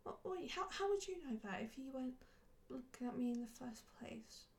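A woman playing a flustered, hesitant woman in an audio roleplay, defensive but quick to yield, in a halting, breathy whisper. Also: high-pitched, expressive. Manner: halting, stammering.

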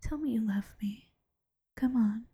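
A woman voicing an affectionate, teasing bunny-girl girlfriend in a slow, coaxing ASMR whisper. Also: on the high side, mellow, husky, full character voice. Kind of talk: ASMR roleplay.

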